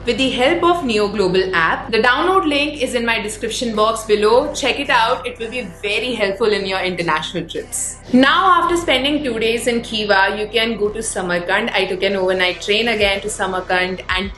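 A woman's voice: mid-range voice, lively intonation, moderate pace, few pauses, fairly bright tone. A young woman with an Indian accent narrating a travel vlog as its presenter. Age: young adult. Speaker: travel vlogger. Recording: roomy, music underneath. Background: background music.

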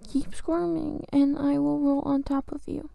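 A woman's voice: high voice, flat delivery, a little slow, creaky, mellow, dry recording, full character voice. A woman playing a clingy, playfully bossy girlfriend in an improvised ASMR roleplay, murmuring drowsily close to the mic and often dropping to a whisper. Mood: clingy, stubborn. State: sleepy.

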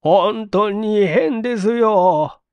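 A man voicing a talkative, matter-of-fact married landlady with brisk, chatty speech in a story reading. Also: sits high, smooth, no echo, lo-fi sound, voice pushed up, exaggerated voice.

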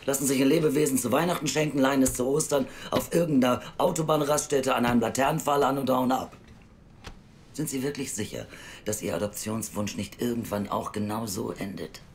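A woman's voice: a bass voice, brisk, a little mellow, a little hiss or hum. An actress playing a blunt, sceptical, world-weary female youth welfare officer in an audio drama, speaking drily. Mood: weary, stern. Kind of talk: naturalistic audio drama acting.

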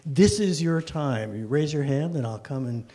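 A man's voice: fairly high pitch, animated, moderate pace, dark tone. A man moderating an audience question session in a lecture hall, joking loosely and restarting his sentences, speaking into a handheld mic. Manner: jokey, loose, restarts often. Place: wood-panelled lecture hall.

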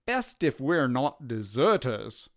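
Man acting rough-spoken, wary army soldier. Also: medium pitch, big pitch swings, slow, a bit bright, full character voice. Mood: scheming. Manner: rough ungrammatical speech.

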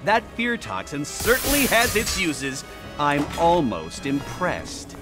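An actor playing a smug, theatrical riddling villain in a video game. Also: male voice, fairly high pitch, wildly swooping pitch, slow pace, exaggerated voice. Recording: game audio with music. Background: music.

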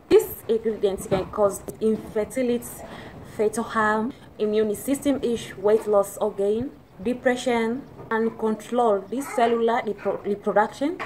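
A young woman from Tanzania with a Tanzanian accent, Swahili her first language, talking to camera about hair care in careful, halting English with many restarts. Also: medium pitch, slight background noise, low-quality recording. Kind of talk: casual talk to camera. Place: indoors, plain plastered wall.

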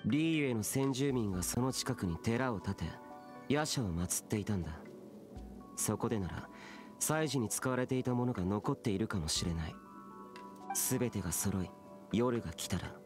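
A man plays a youthful immortal guardian from an anime game, aloof and stern. The voice is low, solemn and curt, with music behind it. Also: natural voice. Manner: curt, solemn, archaic speech.

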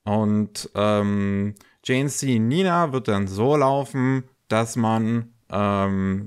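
A man co-hosting a podcast, talking casually and haltingly, slightly congested from a cold. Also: fairly low pitch. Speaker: podcast co-host. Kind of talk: casual news podcast talk.

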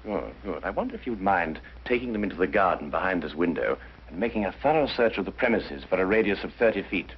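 An actor playing a courteous but commanding British detective with a precise, probing manner, in a 1950s mystery drama. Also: male voice, low-pitched, animated, fast, slightly rough, full character voice. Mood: courteous, purposeful. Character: keen, courteous, commanding male detective questioning suspects. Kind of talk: 1950s television mystery acting. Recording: dull, muffled 1950s soundtrack.

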